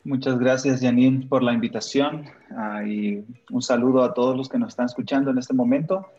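A man's voice: medium pitch, normal speed, a little mellow, lo-fi sound, faint music behind. A man in his thirties, raised in Honduras, a missionary and pastor telling his life story casually with frequent fillers. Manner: chatty, many "ah" fillers, laughs easily. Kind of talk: relaxed personal storytelling.

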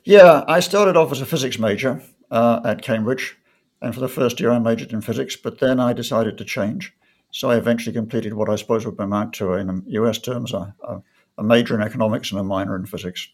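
An older man from North Wales, answering interview questions in a measured way with frequent ums and restarts. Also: medium pitch, darkish tone. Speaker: guest economist. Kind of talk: conversational interview.

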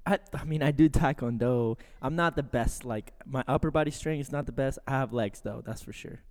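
A man's voice: medium pitch, brisk. A man co-hosting a casual movie podcast, playful and giggly, saying "like" constantly. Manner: playful, giggly, says "like" a lot. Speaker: podcast co-host.

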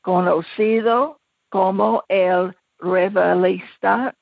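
A woman co-hosting a podcast, introducing the show and its guest in a slow, measured way. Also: mid-range voice, steady tone, creaky, no echo, narrow, tinny audio. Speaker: podcast co-host.

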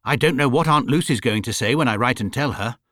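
A man's voice: mid-range voice, quick pace, fairly bright tone, no room sound, clean studio sound, close to an everyday voice. A man voicing an earnest, easily upset young bear who speaks in a proper, wounded, exclamatory way. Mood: wounded, reproachful.

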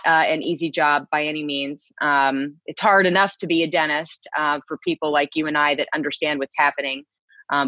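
A woman's voice: low-pitched, fairly bright tone, no echo, muffled recording. A woman from Texas, a TV news co-anchor, chatting easily as an interview guest and laughing readily. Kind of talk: casual interview conversation.

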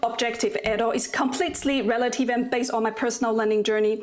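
A woman's voice: fairly high pitch, fairly even intonation, rapid-fire. A woman whose first language is Mandarin, speaking in English as a guest in an interview, earnest and careful. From China. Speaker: guest polyglot. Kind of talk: conversational interview.

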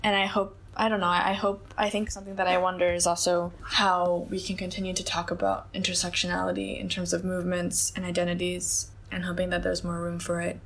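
A woman's voice: lowish voice, completely flat delivery, a little fast, few pauses, fairly bright tone. A young woman from Hong Kong, an interview guest speaking in a reflective, conversational way with lots of hedging and filler words. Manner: thoughtful, many hedges and fillers like "like" and "I think".

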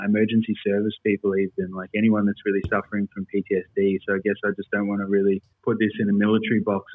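A man's voice: fairly low pitch, dry recording. An Australian man with an Australian accent speaking earnestly and explaining things as an interview guest over a remote call. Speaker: interview guest, military veteran. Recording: dull lo-fi call audio.

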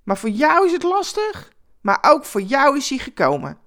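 A woman voicing a boisterous, blunt homeless man who talks loudly and eagerly in a Christmas radio story. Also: high voice, wildly swooping pitch, moderate pace, voice pushed up, full character voice. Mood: loud, earnest. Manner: loud, blunt, exuberant. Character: boisterous, enthusiastic homeless man, rough and talkative.

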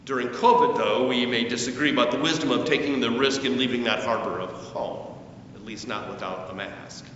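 A man preaching a sermon as a pastor, with measured, deliberate phrasing, emphatic rises and falling sentence endings. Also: fairly bright tone. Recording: very echoey church, distant mic, muffled, faint rumble.

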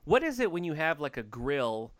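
A man's voice: fairly high pitch, big pitch swings, normal speed, a crowd in the background. A man hosting a podcast, chatting casually and jokingly with his co-hosts, often laughing and restarting his phrases. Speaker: podcast host.

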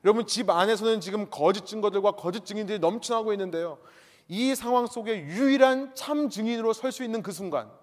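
A male pastor with a notably high voice delivers a sermon, leaning hard on key points and repeating them. He poses rhetorical questions to the congregation. His voice rings out with the long reverb of a church hall. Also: fast talker.

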